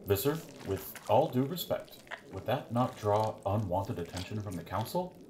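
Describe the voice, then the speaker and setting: A man's voice: lowish voice, lively intonation, normal speed, a little mellow, natural voice. A man playing a formal, obsequious henchman reporting schemes to his master in an audio drama. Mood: deferential, scheming. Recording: noisy covert room recording.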